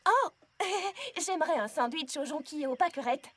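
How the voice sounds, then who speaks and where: A woman voicing an earnest, fretful young female pony in a cartoon, expressive and easily exasperated. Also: high voice, moderate pace, a little hiss or hum. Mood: anxious, fretting. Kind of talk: cartoon dub acting. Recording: music behind the voice.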